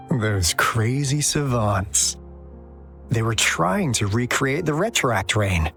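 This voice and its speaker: A man's voice: mid-range voice, animated, slow, creaky, fairly bright tone, heavily acted. An actor voicing a laid-back, wry male explorer hunting treasure in a game cutscene. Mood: easygoing. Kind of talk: video game cutscene acting. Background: music.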